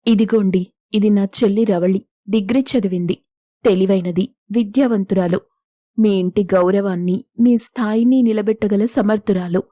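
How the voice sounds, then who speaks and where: A woman's voice: mid-range voice, fairly even intonation, moderate pace, dark tone, no room sound, clean studio sound, close to an everyday voice. A woman playing a humble, self-sacrificing young village elder sister in a moral story, earnest and pleading. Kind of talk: Telugu moral story dialogue.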